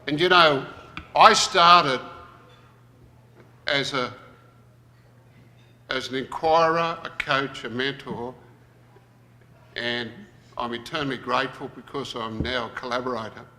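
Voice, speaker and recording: A man's voice: mid-range voice, fairly even intonation, takes it slowly, pauses often, pushed and bright, a little hiss or hum. A man giving a reflective plenary talk at a conference, thinking aloud as he goes. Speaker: conference presenter. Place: conference hall. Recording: reverberant conference hall.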